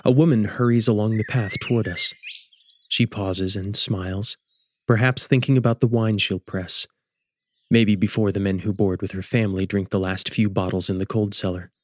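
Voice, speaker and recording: A man hosting and narrating a radio drama as a measured, scripted storyteller. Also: lowish voice, fairly even intonation. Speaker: host and narrator.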